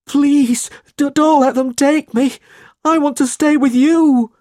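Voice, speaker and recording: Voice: high voice, fairly even intonation, takes it slowly, dark tone, no room sound, clean studio sound, pitched above the performer's own voice. A narrator voicing a frightened boy in a storybook, pleading and crying out emphatically. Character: frightened, pleading boy clinging to his protector.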